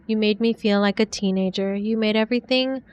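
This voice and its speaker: A woman reading aloud as a young 1940s woman writing tender love letters, in a soft, heartfelt way. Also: mid-range voice, fairly even intonation, a little fast, a little hiss or hum, natural voice. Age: young adult.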